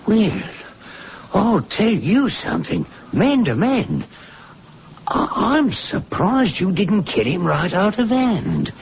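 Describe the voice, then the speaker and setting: An actor playing a concerned gentleman friend, hearty and a little halting, in old-time radio drama style. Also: high voice, sing-song, exaggerated voice. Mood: shocked sympathy. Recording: dull, noisy old radio drama recording.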